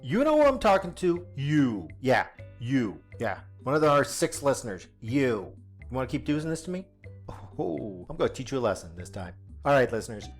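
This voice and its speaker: A man's voice: medium pitch, very animated, normal speed, soft background music. A man playing an exasperated, sarcastic small-town radio host in a comic audio drama, theatrical and grumbling.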